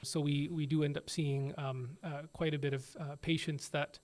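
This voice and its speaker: A man in his thirties from Toronto, an ICU physician, giving measured explanations with frequent pauses and fillers. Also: fairly high pitch, level tone, darkish tone. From Toronto, Canada. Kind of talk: interview answers.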